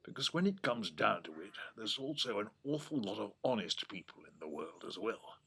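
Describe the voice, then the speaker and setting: An actor playing a wry, wistful old English grandfather in an audio drama, rambling affectionately in a gravelly, husky voice. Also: lowish voice, big pitch swings, moderate pace, pushed and bright, full character voice. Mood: reflective, wistful. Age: 70s or older. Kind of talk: naturalistic audio drama acting. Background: birdsong.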